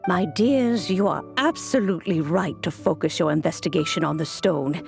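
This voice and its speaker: An actress playing a wise, kindly ancient female guardian in a fantasy game, speaking in a gentle, measured, formal and reflective way. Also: fairly low pitch, creaky, soft background music. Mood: fond, serene. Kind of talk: scripted fantasy game dialogue.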